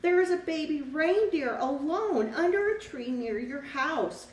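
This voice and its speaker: A woman's voice: sits high, lively intonation, unhurried, pitched above the performer's own voice. A woman voicing an earnest talking cardinal bird pleading for help in a children's storybook. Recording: roomy echo, distant mic, faint background noise.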